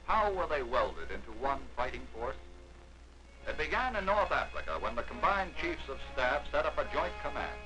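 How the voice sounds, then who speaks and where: A man narrating a 1940s wartime documentary in a measured, declamatory newsreel manner. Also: medium pitch, big pitch swings, music in the background, poor audio.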